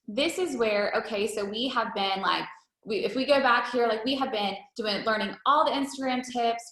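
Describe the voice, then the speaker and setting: Woman, coach on a team call, creaky voice, chatty and wordy, roomy echoey recording. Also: mid-range voice, sharp tone. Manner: wordy, conversational, peppered with rhetorical check-ins. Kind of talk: informal, chatty coaching presentation.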